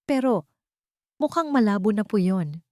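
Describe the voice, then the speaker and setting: A woman's voice: medium pitch, expressive, unhurried, no echo, clean studio sound, voice pushed up, lightly acted. A woman voicing a kind, respectful young peasant granddaughter in an audio story. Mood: wistful. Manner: gentle, respectful.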